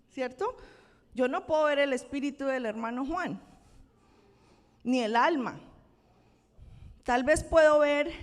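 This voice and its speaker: A woman pastor from Colombia with a Colombian accent, preaching a conversational sermon and checking in with her congregation. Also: high voice. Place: church sanctuary. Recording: long church-hall reverb.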